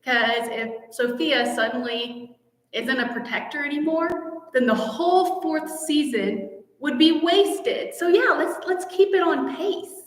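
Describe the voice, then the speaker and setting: High-voiced woman chatting in an echoey room. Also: takes it slowly. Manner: gushing, enthusiastic fan talk. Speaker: host of a fan channel. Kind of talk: casual live Q&A chat. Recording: echoey room with long reverb.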